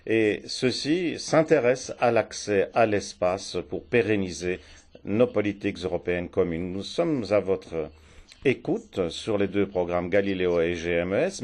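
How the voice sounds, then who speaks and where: A man with a fairly low voice opens a policy conference as its chair. He speaks in a formal, measured way, with steady official phrasing in a parliament hemicycle. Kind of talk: formal opening remarks.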